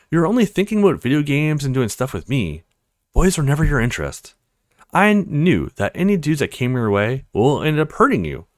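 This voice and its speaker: Man playing loyal young guy, very expressive. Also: medium pitch, no echo, studio quality. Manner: earnest, reassuring, blunt. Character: loyal, protective young male best friend, blunt. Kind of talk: visual novel character read-aloud.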